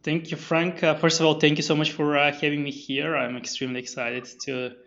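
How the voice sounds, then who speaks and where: A man's voice: on the high side, flat delivery, brisk, creaky, fairly bright tone, highs cut off. A man, a company founder guest on a podcast interview, talking with many fillers and restarts. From Bulgaria.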